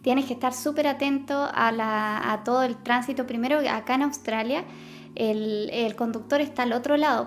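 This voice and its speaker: Chilean girl vlogging about the brain, kinda high voice, lots of ums, light music underneath. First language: Spanish. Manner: chatty, many fillers and self-corrections. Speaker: science vlogger. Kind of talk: casual explanatory vlog.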